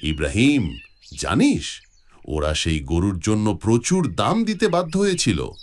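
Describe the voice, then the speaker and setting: Man playing a deep, expressive old storytelling grandfather. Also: unhurried. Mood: suspenseful storytelling. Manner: expressive, instructive. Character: kindly old grandfather telling moral Quran stories. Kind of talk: cartoon storytelling for children.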